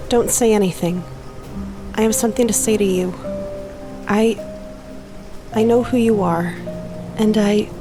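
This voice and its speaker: A woman playing an earnest, anguished lover in an audio drama, speaking in halting, heartfelt phrases. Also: mid-range voice, close to an everyday voice.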